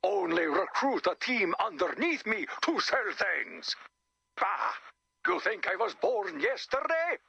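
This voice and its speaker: A man's voice: sits high, sing-song, takes it slowly, creaky, pushed and bright, slightly rough, no echo, full character voice. A man playing a snobbish, curmudgeonly vampire in a video game, haughty and theatrical, heard as if over a radio. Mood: scornful. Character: snobbish, curmudgeonly male vampire, dismissive and evasive. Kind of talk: video game character voice acting.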